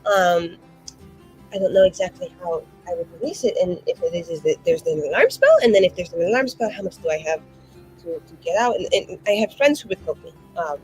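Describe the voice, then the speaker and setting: A woman's voice: lowish voice, sing-song, brisk, darkish tone, soft background music, poor audio. A woman playing her character at a tabletop game, rambling with fillers and restarts. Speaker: player. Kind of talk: in-character roleplay chat.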